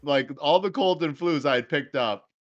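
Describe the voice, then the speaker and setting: A man appearing as a guest game designer on a livestream, chatting in an animated, rambling way with many fillers while his voice recovers from a long loss. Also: a little high, moderate pace, lo-fi sound, a little hiss or hum. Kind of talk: casual livestream chat.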